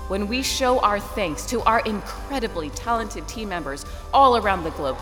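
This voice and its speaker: A woman's voice: medium pitch, lively intonation, moderate pace, big-room sound, over music, lightly acted. An actress playing Grace, a harried corporate event emcee who loses her temper and swears at her stage manager in an audio drama. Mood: nervous formal. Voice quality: profane, exasperated, bickers. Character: flustered harried woman company emcee.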